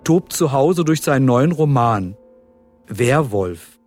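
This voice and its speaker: An actor playing an intense narrator in an audio drama, declaiming grimly and repeating phrases insistently. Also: male voice, medium pitch, animated, takes it slowly, a little mellow, a little hiss or hum. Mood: declamatory. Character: intense male narrator, chanting and repeating grim war phrases.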